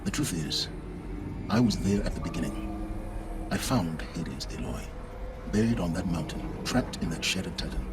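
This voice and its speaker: An actor playing an aloof, calculating mentor in a video game, speaking in a deliberate, theatrical way. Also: male voice, low-pitched, expressive, heavy background noise. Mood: grave, confessing. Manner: deliberate, eloquent, theatrical phrasing. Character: aloof, calculating male mentor confessing his part in a cult. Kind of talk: dramatic video game dialogue.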